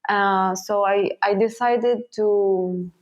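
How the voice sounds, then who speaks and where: A woman from Egypt, a painter answering interview questions in a halting way, with many fillers and restarts. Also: medium pitch, fairly even intonation, muffled recording. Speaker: guest painter.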